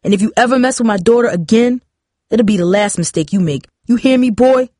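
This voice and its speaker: A woman voicing a stern, protective, angry father in an audiobook story, scolding forcefully. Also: lowish voice, fast talker, dry recording, clean studio sound, lightly acted. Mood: furious, threatening. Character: furious, protective father confronting daughter and boy.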